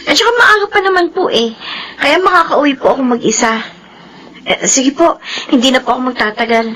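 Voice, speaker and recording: Very high-voiced, caring young woman. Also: brisk, a bit bright, a little hiss or hum. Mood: polite, apologetic. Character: caring, exasperated young woman, a loyal friend. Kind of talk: Filipino radio drama acting.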